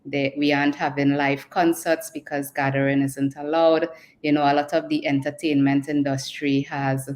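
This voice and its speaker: A woman's voice: low voice, level tone, moderate pace, a little mellow. A woman speaking as a psychologist panelist, explaining things.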